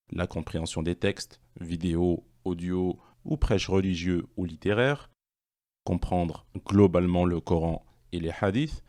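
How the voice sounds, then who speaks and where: A man presenting an online language course, reading questions and answers in a measured, explanatory way. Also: low voice, darkish tone.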